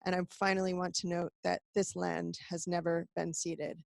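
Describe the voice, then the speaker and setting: A woman's voice: fairly low pitch, little pitch movement, moderate pace, gravelly, no room sound. An American woman, an executive director, giving a conversational and earnest webinar briefing to supporters from an office, with some fillers and restarts. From United States.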